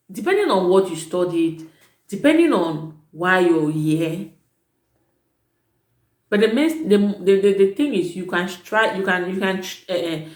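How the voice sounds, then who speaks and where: A woman who works as a teacher, giving casual advice in a vlog, chatty and emphatic, often correcting herself mid-sentence. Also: on the low side. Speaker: vlogger advising prospective students; teacher at an international school. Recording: echoey room.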